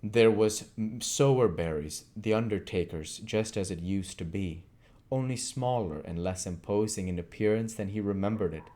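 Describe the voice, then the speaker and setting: A man reading a classic novel aloud as narrator, in deliberate phrases with dramatic emphasis. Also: lowish voice, mellow. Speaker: audiobook reader.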